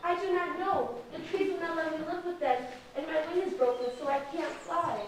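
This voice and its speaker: A woman's voice: very high voice, steady tone, moderate pace. A woman playing a lonely little bird with a broken wing, pleading in a puppet show. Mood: forlorn. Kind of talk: puppet show stage acting. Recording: echoey room, distant mic, faint noise.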